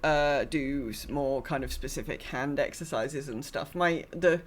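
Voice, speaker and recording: A woman's voice: extremely low pitch, measured pace. A woman co-hosting a podcast in casual chat, rambling and often trailing off mid-thought. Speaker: podcast co-host.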